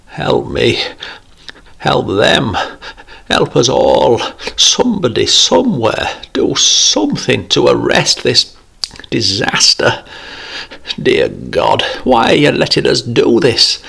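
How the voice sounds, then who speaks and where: A man's voice: on the low side, very animated, measured pace, creaky, fairly bright tone, slightly rough, pitched above the performer's own voice, heavily acted. A man voicing a jovial, preachy older man, raising his voice above his narration. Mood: pleading. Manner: pleading, then cheery and coaxing.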